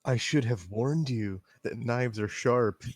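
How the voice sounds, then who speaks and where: A man's voice: mid-range voice, slow pace, no room sound, faint music behind. A man reading as a shy girl who loves knives. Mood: soft, teasing. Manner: laughing, breaking character. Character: shy knife-loving teen girl. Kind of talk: impromptu staged reading.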